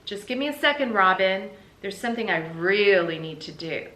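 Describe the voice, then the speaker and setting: A woman's voice: mid-range voice, expressive, moderate pace, pushed and bright. A woman voicing an anxious, exasperated young boy, a big brother, in a children's book read-aloud. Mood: exasperated, patient. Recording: roomy, noticeable background hiss.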